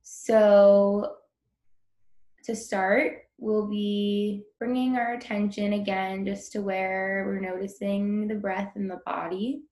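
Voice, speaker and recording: A woman guiding a breathing meditation in a gentle, informal and unhurried instructional manner. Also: mid-range voice, level tone, roomy sound. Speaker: meditation guide.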